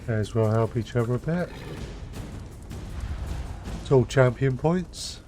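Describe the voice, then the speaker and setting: A man's voice: mid-range voice, unhurried, over music. A man with a cold giving casual gameplay commentary as he live streams. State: has a cold. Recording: clear background noise, game sound.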